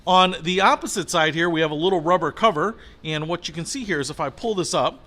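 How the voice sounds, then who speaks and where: Bright, high-voiced man giving casual product demo. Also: fast. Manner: informal, upbeat, colloquial. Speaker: product review host. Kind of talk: casual product demonstration to camera.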